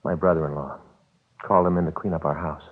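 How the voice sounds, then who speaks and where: An actor playing a plain-spoken, mildly weary police detective sidekick in old radio drama style. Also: male voice, extremely low pitch, fairly even intonation, fast talker. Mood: casual, puzzled. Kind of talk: 1940s radio acting. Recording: tinny old radio recording.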